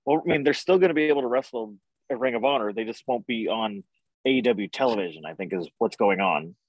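A man's voice: fairly low pitch, fast talker, no echo, muffled recording. A man in his thirties chatting casually as the co-host of a wrestling podcast. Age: 30s.

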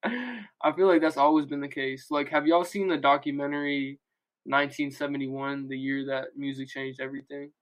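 A man's voice: mid-range voice, fairly even intonation, moderate pace, low-quality recording. A man co-hosting a podcast in casual chat, with a joking, slangy way of talking. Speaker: podcast co-host.

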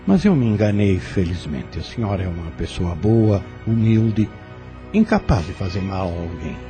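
A courteous farm overseer in a radio soap, with a low, mellow voice. He speaks formally and with measured gallantry, gently flirting, over faint music. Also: sing-song. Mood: earnest, admiring. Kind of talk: radio soap opera acting.

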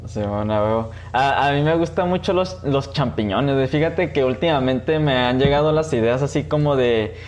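A man's voice: mid-range voice, normal speed, hardly any pauses, darkish tone, slight background noise. A man with a Mexican accent hosting a podcast in a casual, slangy, chatty way. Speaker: podcast host. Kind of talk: casual chat.

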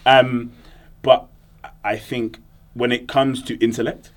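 A man, a guest in a casual chat, talking fast and emphatically with plenty of slang. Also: mid-range voice, little pitch movement. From Jamaican heritage.

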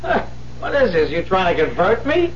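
Cocky, high-pitched small-time crook. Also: male voice, wildly swooping pitch, normal speed. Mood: indignant. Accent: American. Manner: streetwise, indignant. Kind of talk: 1970s American radio drama acting. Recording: muffled, hissy 1970s radio recording with hum.

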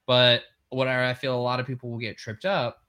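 A man hosts a casual podcast conversation in an average, mid-range voice. He reasons out loud in a measured, reflective way, laying out his ideas step by step. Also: medium pitch, little pitch movement, clear, resonant tone. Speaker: podcast host.

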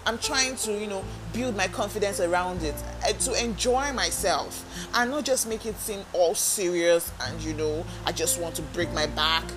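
A woman's voice: on the low side, moderate pace, few pauses, music in the background, low-quality recording. A woman hosting a self-help podcast, talking earnestly and coaching listeners with direct questions. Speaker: podcast host. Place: talking alone into a microphone.

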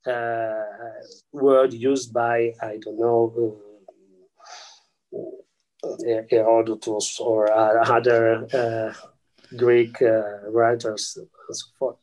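A man speaking English with an Italian accent explains ideas slowly and carefully. He hesitates constantly, filling gaps with sounds and restarting half-finished phrases. His pace stays unhurried throughout. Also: medium pitch, level tone, a little mellow, poor audio. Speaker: guest scholar. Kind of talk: explaining physics and theology in an interview.

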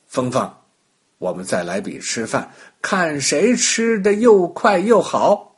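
A man plays an encouraging storybook dad who coaxes a small child as if starting a game. He speaks slowly, with big lively rises in pitch. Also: slightly rough, heavily acted. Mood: coaxing. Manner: coaxing, game-like challenges. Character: playful, encouraging young father.

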